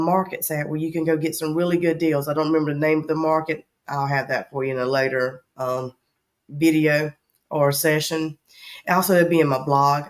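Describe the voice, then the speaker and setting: A woman with a Southern accent hosting a travel vlog in casual chat, her voice scratchy from allergies and her talk rambling with frequent ums. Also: deep voice. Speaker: travel vlogger host.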